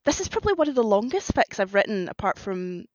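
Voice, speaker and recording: A woman's voice: medium pitch, very animated, fast talker, no echo. A woman talking casually as a guest writer on a podcast, with slightly dull, lo-fi sound. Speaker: guest author on a fan fiction podcast.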